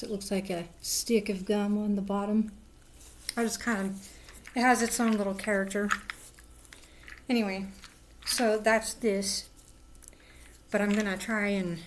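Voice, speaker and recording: A woman with a hoarse voice, rambling casually as she hosts a crafting livestream from home, sometimes drifting into soft murmurs. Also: mid-range voice, slight background noise. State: hoarse, lost voice. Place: at home indoors.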